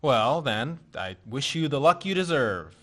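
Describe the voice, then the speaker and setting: A man playing a dry-witted drifter in a radio play, with deadpan delivery. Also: mid-range voice, a little slow.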